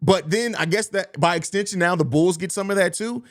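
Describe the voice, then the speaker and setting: A man hosting a sports podcast live stream, reading viewer comments aloud and reacting to them in a relaxed, conversational way. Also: high-pitched, fairly quick.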